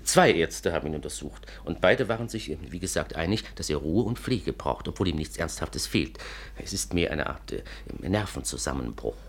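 An actor playing an anxious, courteous inventor in a 1950s German radio play, speaking formally and hesitantly in a husky, breathy voice. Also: male voice, extremely low pitch, expressive, normal speed, few pauses, slight background noise. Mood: earnest, troubled. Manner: formal, hesitant, repeats a pet phrase.

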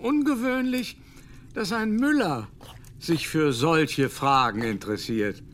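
A pompous, lecturing foreign Jesuit. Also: male voice, on the high side, lively intonation, slow, a bit bright, a little hiss or hum. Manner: lecturing, fumbles for German words. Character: learned English Jesuit doctor, pompous and evasive. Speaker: Jesuit scholar. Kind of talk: radio play acting.

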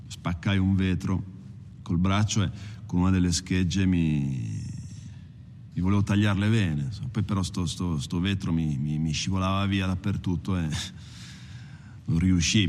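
A man's voice: sits low, gravelly, a little mellow, a little hiss or hum. An actor playing a plain-spoken male former prisoner, giving a hesitant, colloquial testimony full of repeated words. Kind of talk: film monologue told like casual testimony.

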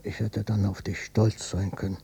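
A man's voice: fairly low pitch, level tone, normal speed, vocal fry, warm tone, husky, exaggerated voice. A male actor playing a bitter, stubborn old father, a former policeman, brooding and obstinate, in a German radio drama. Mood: bitter, wistful. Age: older. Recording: noisy cassette copy of radio play.

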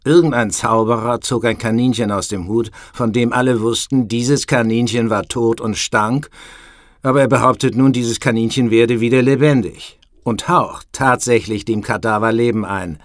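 Man playing a bank chairman explaining himself, self-assured, deliberate, mid voice, very dry studio sound. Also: medium pitch, fairly even intonation, no echo, close to an everyday voice. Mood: self-justifying. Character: self-justifying male ex-top manager, bank board chairman. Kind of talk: radio play, interview-like statement.

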